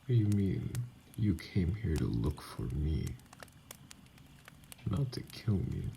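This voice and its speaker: Man playing a very deep, gravelly wounded vampire. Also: very animated, slow pace, dark tone, a little hiss or hum, heavily acted. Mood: bitter, suspicious. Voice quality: very deep, gravelly, breathy at times. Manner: hesitant, formal wording, guarded. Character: wounded, distrustful, weary male vampire hunted by humans. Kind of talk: ASMR roleplay audio acting.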